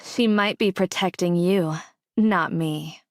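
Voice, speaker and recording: Cold, scornful schemer. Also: female voice, lowish voice, slow pace, no echo, clean studio sound. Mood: mocking. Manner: condescending, cutting, controlled. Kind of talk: scripted anime game dialogue.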